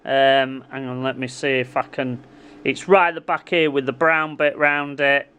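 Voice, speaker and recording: A man's voice: mid-range voice, little pitch movement, moderate pace, bright, forceful tone, smooth. A man giving a casual hands-on repair tutorial, informally addressing viewers and thinking aloud as he works. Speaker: repair hobbyist presenting his own video.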